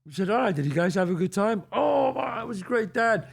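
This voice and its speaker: Man, older, New York accent, gravelly, lively storyteller. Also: high voice, a little fast. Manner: animated storyteller acting out quotes. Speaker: podcast host. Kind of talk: casual storytelling chat.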